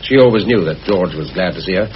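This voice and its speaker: An actor playing an earnest, frank and touchy bachelor in a vintage radio play, speaking emphatically. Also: male voice, sits low, animated, fast. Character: earnest, defensive bachelor devoted to a widow. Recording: dull, hissy old radio drama recording.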